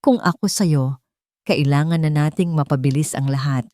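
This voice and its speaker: Woman as an impatient male conspirator, low voice. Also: moderate pace, mellow, no room sound, clean studio sound, close to an everyday voice.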